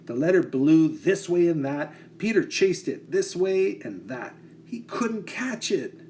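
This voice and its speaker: A man reading a children's picture book aloud with expressive, dramatic phrasing. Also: fairly high pitch, a little slow, a little hiss or hum.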